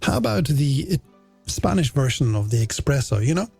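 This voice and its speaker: A man's voice: mid-range voice, sing-song, moderate pace, creaky, darkish tone, slightly rough, no room sound. A middle-aged man with an Irish accent presenting a chatty late-night radio show in a playful, self-deprecating way, talking to listeners as friends. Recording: radio broadcast with music bed underneath. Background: music.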